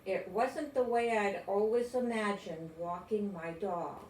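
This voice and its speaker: An older woman from the United States giving an expressive read-aloud of a children's story. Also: medium pitch, a little slow. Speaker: storybook reader. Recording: roomy, distant mic, faint noise.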